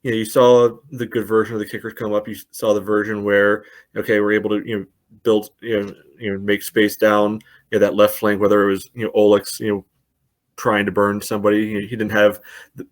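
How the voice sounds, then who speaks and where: A man's voice: on the low side, flat delivery, brisk. A man co-hosting a casual soccer podcast, talking at length with many "you know" fillers. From United States. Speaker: podcast co-host. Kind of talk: casual sports chat.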